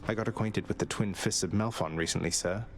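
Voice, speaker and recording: Man playing a low-voiced, wry underworld prince. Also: fast talker, lightly acted. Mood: courteous. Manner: dry, polite, sardonic. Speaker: video game voice actor. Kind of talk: scripted video game dialogue.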